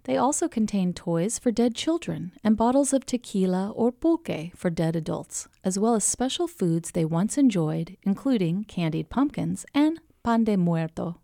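A woman's voice: medium pitch, animated, normal speed, creaky, few pauses, mellow, no echo, studio quality. A woman podcast host reading scripted explanatory narration.

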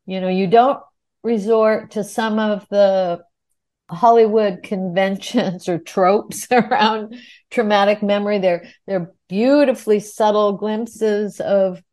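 A woman's voice: mid-range voice, fairly even intonation, takes it slowly, darkish tone. A woman with a mid-range voice interviews a director. She is articulate and reflective, and speaks in long, flowing sentences. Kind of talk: thoughtful interview questions.

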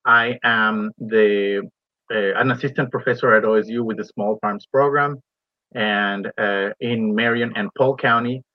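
A man from Ecuador, a university professor, explaining his work as a radio interview guest in a halting, pause-filled way. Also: lowish voice, fairly even intonation, dry recording, highs cut off.

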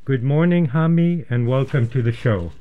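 A man presents a radio show in a formal, earnest manner. His voice sits at a medium pitch and he speaks in measured, prepared phrases. The studio sound is a little dull and lo-fi. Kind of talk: measured scripted introduction and interviewing. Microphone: studio microphone.

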